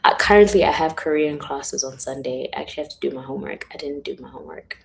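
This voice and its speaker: A young woman chatting casually on a livestream, rambling and giggly, her voice a little hoarse and lower than usual. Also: fast talker. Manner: chatty, rambling, giggly, uses filler words a lot. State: voice going hoarse. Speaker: author hosting a livestream. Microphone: phone or webcam in a livestream.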